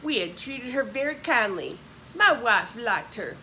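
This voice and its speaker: A woman's voice: on the high side, big pitch swings, a little slow, pushed and bright, slight background noise, exaggerated voice. Woman reading as a stern, menacing male slaveholder. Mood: suspicious. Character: stern, threatening male slaveholder, cunningly persuasive. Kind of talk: audiobook character voice.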